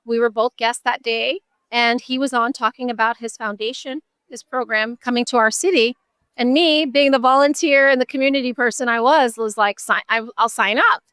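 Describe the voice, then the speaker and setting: A woman's voice: a little high, fairly even intonation, moderate pace, a bit bright, clean tone, dry recording. A middle-aged woman with an American accent speaking earnestly and emphatically as a guest in a conversational interview. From Buffalo, New York, USA. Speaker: interview guest, nonprofit advocate.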